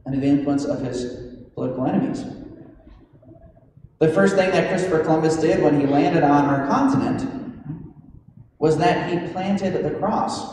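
Priest preaching in a very echoey church. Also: male voice, a little high, darkish tone. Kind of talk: homily. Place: large stone church, indoors. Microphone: gooseneck pulpit microphone. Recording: very echoey church hall, noisy, distant.

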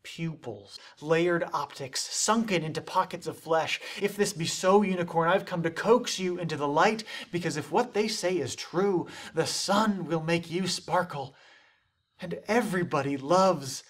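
A young man with a slightly high voice recites poetry as a mock-serious performance. His delivery is theatrical and deliberate, leaning on dramatic pauses and swelling emphasis. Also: fairly bright tone. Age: young adult. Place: indoors, dark studio stage set.

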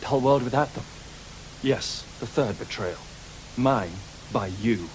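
A man's voice: medium pitch, measured pace, a little hiss or hum, lightly acted, poor audio. A man playing an imperious, accusing Victorian detective. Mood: grave, condemning. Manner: theatrical, prosecutorial, biting. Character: accusing, wounded, imperious fictional detective confronting his author. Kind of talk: radio drama acting.

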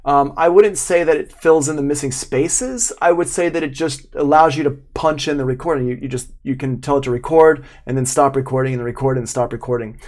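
A man's voice: medium pitch, a little fast, hardly any pauses, darkish tone. A man in his forties giving a casual live tutorial as an instructor, explaining in an informal, enthusiastic way. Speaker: audio production instructor. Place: small room at home, indoors.

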